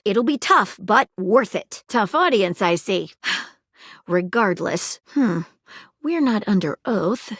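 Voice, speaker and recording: A woman's voice: on the high side, a bit bright, dry recording, clean studio sound. A woman voicing an eager, prim detective magnifying glass in a video game, with arch, wordy, old-fashioned sleuth talk and a suspicious, wry temper. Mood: wry, instructive. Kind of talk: theatrical video game character acting.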